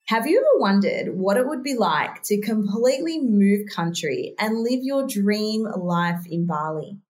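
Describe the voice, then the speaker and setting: A woman's voice: medium pitch, very animated, measured pace, a little mellow, soft background music. A woman hosting a mental health podcast, introducing and questioning her guest with enthusiasm. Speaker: podcast host. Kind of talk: interview hosting.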